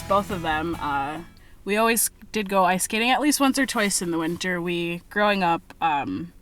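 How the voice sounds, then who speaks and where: A woman's voice: medium pitch, fairly bright tone. A woman from Duluth, Minnesota co-hosting a casual podcast, easygoing and rambling with fillers. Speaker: podcast co-host.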